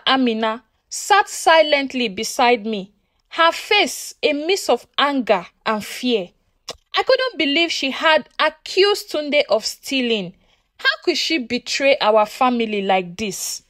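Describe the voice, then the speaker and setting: High-voiced Nigerian woman telling a dramatic story. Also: no room sound, clean studio sound. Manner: expressive, deliberate, emotive. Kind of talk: dramatic first-person folktale narration.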